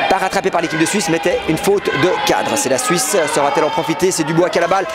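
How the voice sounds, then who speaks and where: Male live sports commentator, slightly high voice, halting with fillers, bursts into shouts on big plays, noisy hall. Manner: hesitant with frequent fillers, rises to shouts on scoring plays. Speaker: match commentator. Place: indoor sports hall at a match. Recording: loud crowd and heavy noise around. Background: crowd and bouncing balls.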